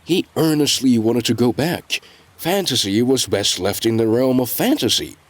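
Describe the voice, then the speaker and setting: A male narrator with a medium-pitched voice reads a scripted tale. His intonation moves widely, while the phrasing stays measured, with deliberate dramatic pauses. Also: lively intonation.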